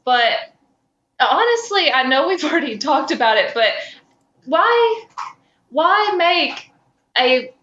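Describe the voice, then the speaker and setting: A woman's voice: high-pitched, big pitch swings, slow, long pauses, fairly bright tone, lo-fi sound. A woman co-hosting a podcast with casual banter and playful, incredulous reactions, in a roomy recording. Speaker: podcast co-host.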